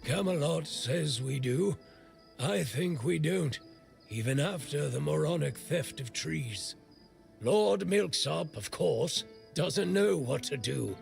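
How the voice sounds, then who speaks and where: An actor playing a gruff, irreverent old smith in a video game, with a gravelly voice and a blunt, sardonic way of talking. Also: male voice, a little high, lively intonation, slow pace, faint music behind, full character voice. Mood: disdainful grumbling. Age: older. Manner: blunt, foul-mouthed, sardonic. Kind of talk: video game character dialogue.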